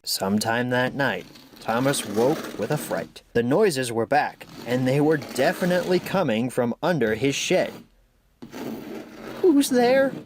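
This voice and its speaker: Slow, animated male storyteller. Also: mid-range voice, noisy background. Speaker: amateur story reader. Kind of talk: children's story narration.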